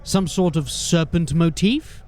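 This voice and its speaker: A man voices a polished watch captain who talks in crisp, formal phrases. His voice sits a little high for a man. It is an audio drama performance. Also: animated, measured pace, a little mellow, a little hiss or hum. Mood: composed, formal.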